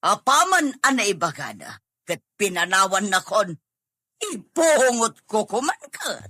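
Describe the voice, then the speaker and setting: A woman's voice: mid-range voice, very animated, a little slow, bright, forceful tone, slightly rough, dry recording, clean studio sound, exaggerated voice. A woman with an Ilocano Filipino accent playing an irritable, blunt, disapproving mother of a grown son in a comedy drama. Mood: irritated.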